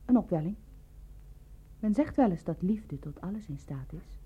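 An actress in a Dutch radio play, playing a shrewd, insinuating woman who questions a suspect in a husky, controlled and pointed way. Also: lowish voice, animated, normal speed, dark tone. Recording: dull, lo-fi radio play recording.

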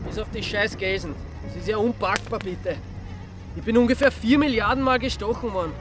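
A male actor plays a sardonic Austrian man in a radio drama, his voice on the high side. He grumbles and exaggerates in colloquial speech, with a touch of indignation. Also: fairly quick, over music, close to an everyday voice. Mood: whiny irritation. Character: sardonic, aggrieved man, prone to lurid storytelling.

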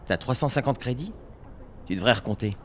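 A man playing a sardonic young male worker speaks with a very animated, medium-pitched voice. He is weary and mocking, a crude-tongued youth in a science fiction audio drama. Slight noise sits behind him. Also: brisk, natural voice. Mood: dry, teasing.

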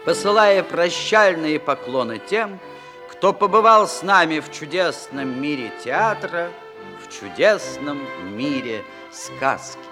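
A man narrating a radio play in a scripted, theatrical storytelling style with deliberate pauses. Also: a little high, animated. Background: music with violins.

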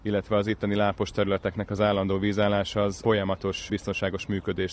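A man's voice: lowish voice, monotone. A man interviewed outdoors on location, explaining things as a knowledgeable local guide.

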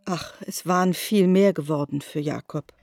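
A woman narrating a literary radio drama in a measured storytelling manner. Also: sits low, vocal fry, over music.

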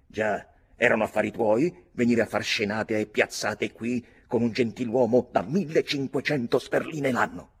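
A man's voice: low-pitched, fairly even intonation, brisk, fairly bright tone, slightly rough, full character voice. A man reading an audiobook, voicing a scornful, angry young man who snarls insults.

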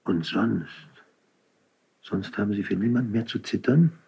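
An actor playing a loyal, concerned count in a classical radio drama, husky, speaking in an earnest, measured, deferential way. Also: male voice, lowish voice, darkish tone, full character voice. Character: loyal, concerned count, cautiously warning his prince. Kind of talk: classical radio stage acting.

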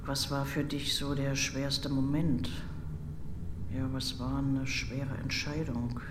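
A man's voice: mid-range voice, level tone, slow, roomy sound, in a large hall, noisy background. A man talking in a spontaneous, reflective way. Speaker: participant in an audio theatre piece.

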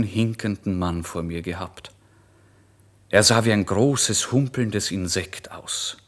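A male actor plays a hungry, proud male writer narrating his own wanderings in a radio drama. His voice sits fairly low, and he broods nervously, swinging from hushed to forceful with an irritable edge. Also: close to an everyday voice. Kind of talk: literary first-person radio drama monologue.

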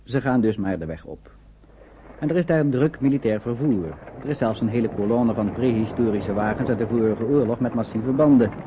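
A man's voice: medium pitch, brisk, background noise, natural voice. An actor narrating a scripted radio drama about wartime refugees in a man's storytelling voice. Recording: muffled phone-like old radio recording.

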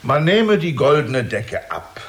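A fatherly-aged, imperious Tsar in a children's radio play. He speaks very slowly and gravely at a middle pitch, measured and commanding. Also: very animated, a bit bright. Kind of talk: children's radio drama acting.

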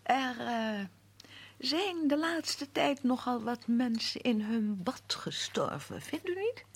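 A genteel, curious spinster schoolteacher in a radio drama. Her voice sits fairly high with expressive, swooping intonation and a slightly husky grain, polite and hesitant as she probes. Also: takes it slowly, exaggerated voice. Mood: hesitant suspicion. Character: shy but inquisitive unmarried former schoolteacher, genteel woman. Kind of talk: Dutch radio play acting.

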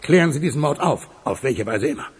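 An actor in a radio play playing an impatient, demanding police boss with a husky, gravelly, emphatic delivery. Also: male voice, medium pitch, animated, fast talker. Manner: commanding, emphatic.

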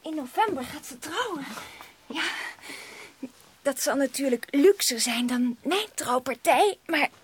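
A woman's voice: high voice, lively intonation, unhurried, long pauses. An actress in a Dutch radio play playing a sweet, dreamy, obedient young girl in love, gushing, on a slightly noisy recording. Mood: blissful, dreamy.

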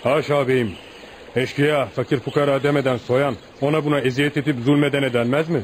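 A man's voice: mid-range voice, fairly even intonation, brisk, natural voice. An actor playing a respectful village man in his fifties who tells stories earnestly and solemnly in a Turkish radio play. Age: 50s. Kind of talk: Turkish radio theatre acting. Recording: noisy radio drama recording, outdoor ambience.

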